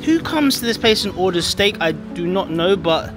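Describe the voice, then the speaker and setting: Rambling guy filming himself walking round an outdoor cafe, slightly high voice, music under it. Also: big pitch swings, moderate pace. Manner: chatty, rambling, self-deprecating asides. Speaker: vlogger. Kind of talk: casual travel vlog narration. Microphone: handheld camera.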